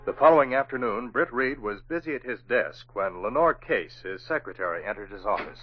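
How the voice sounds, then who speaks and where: A man's voice: mid-range voice, lively intonation, fairly quick, husky, soft background music. A man narrating a 1940s radio drama as announcer, in a scripted storytelling style. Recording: muffled old radio recording.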